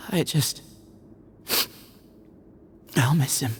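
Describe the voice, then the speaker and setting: An actor playing a wistful young desert heir in a game, casual and subdued with a creaky voice. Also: male voice, medium pitch, extremely slow. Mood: subdued grief. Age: young adult. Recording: faint ambient background noise.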